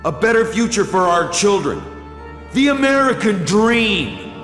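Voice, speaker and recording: Video game rebel hero, earnest, slightly high voice, measured rallying address in long reverb. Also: male voice, very animated, fairly bright tone, heavily acted. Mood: hopeful, rousing. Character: earnest American freedom-fighter leader addressing the nation. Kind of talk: solemn rallying speech. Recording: echoing reverb, music behind.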